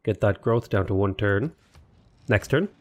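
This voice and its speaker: A man's voice: on the low side, expressive, unhurried, a little mellow. A man casually commenting while playing a strategy game, thinking aloud and sounding a little sniffly and under the weather. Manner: thinking aloud, rambling, talks to game units. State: sniffly, slightly unwell. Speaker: gaming YouTuber. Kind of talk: casual let's play commentary.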